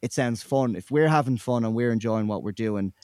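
A man's voice: medium pitch, a little fast, darkish tone, smooth, no room sound, studio quality. A man, a musician answering interview questions thoughtfully and with some hesitation. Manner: thoughtful, hesitant, many likes. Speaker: musician guest.

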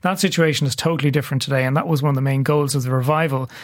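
A man with a Dublin Irish accent, a curator speaking as a radio guest, measured and fact-heavy, with frequent filler sounds. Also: mid-range voice, fairly even intonation. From Ireland. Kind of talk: radio interview, explanatory.

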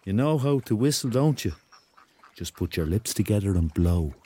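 Laid-back, low-voiced rocker, acted by a man. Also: lively intonation, moderate pace, over music, lightly acted. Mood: dry, teasing. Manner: laconic, easygoing, dryly teasing. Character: laid-back, kindly, cryptic ghost of an Irish rock star. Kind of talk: radio drama dialogue.

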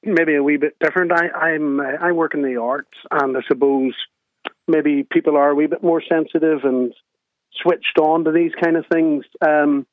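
A man from Northern Ireland with a Northern Irish accent, calling in to a radio show and chatting in a relaxed way. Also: on the high side, moderate pace, dry recording. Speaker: radio caller. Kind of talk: casual phone-in chat. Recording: tinny phone line.

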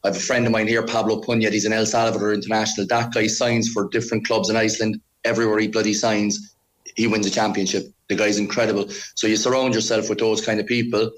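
Cork-accented coach, low-pitched, chatty storyteller on a call. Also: male voice, little pitch movement, fast, poor audio. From Ireland. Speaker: football coach. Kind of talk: casual interview chat.

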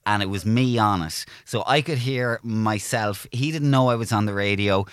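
A man's voice: fairly low pitch, normal speed, clear, resonant tone, no room sound, clean studio sound. A middle-aged Irish man, co-hosting a casual podcast and telling stories frankly. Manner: frank storyteller, lively delivery. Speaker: podcast co-host. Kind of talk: casual chat.

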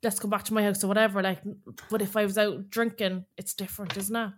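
A woman's voice: mid-range voice, level tone, fast. An Irish woman with a Cork accent chatting casually and bluntly as a podcast co-host. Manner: blunt, colloquial, sweary. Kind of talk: casual chat.

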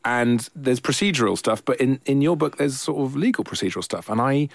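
A man's voice: medium pitch, a little fast, creaky, no room sound, clean studio sound. A man hosting a podcast interview, enthusiastic and jokey, often talking over his guest. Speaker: podcast host. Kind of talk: casual interview chat.

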